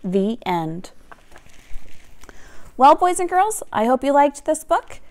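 Very expressive woman reading a picture book. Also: high-pitched. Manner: dramatic, expressive, drawn-out emphasis on key words. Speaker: teacher reading aloud to children. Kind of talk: animated picture-book read-aloud.

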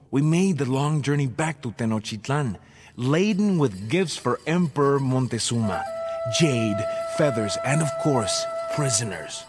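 An actor playing a proud Aztec jaguar warrior who solemnly recounts ominous events as dramatic game cutscene narration. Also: male voice, medium pitch, lightly acted. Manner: solemn, measured storytelling. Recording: music behind the voice.

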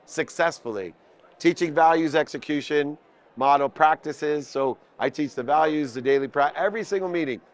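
A man's voice: a little high, moderate pace. A man in his fifties giving an impromptu business talk as a coach, emphatic, listing points in parallel phrases. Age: 50s. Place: indoor convention booth with onlookers. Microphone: small mic clipped at the collar.

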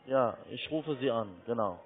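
A man's voice: medium pitch, normal speed. Non-native man on a tinny phone line. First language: not German. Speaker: phone salesman, trading call-centre agent. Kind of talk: unscripted sales phone call.

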